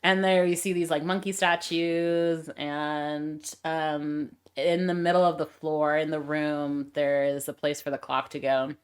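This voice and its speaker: A woman's voice: low voice, unhurried, hardly any pauses, clean tone. A woman co-hosting a podcast, casually retelling a film's plot and acting out the characters' lines as she goes. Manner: retells scenes with lively quoted lines. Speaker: podcast co-host. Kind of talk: casual chat recapping a film.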